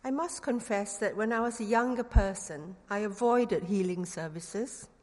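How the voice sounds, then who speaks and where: A middle-aged woman from Singapore with a Singaporean English accent and a touch of London slang, giving an earnest sermon with gentle humor and leading prayer. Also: mid-range voice, moderate pace, big-room sound. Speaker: preacher.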